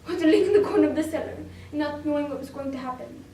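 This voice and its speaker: A woman performing a dramatic monologue as a frightened, anguished young wartime girl, emotive and deliberate in delivery. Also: sits high, warm tone, a little hiss or hum. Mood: frightened, grim. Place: indoor room, recorded from a distance. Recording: roomy echo, distant microphone.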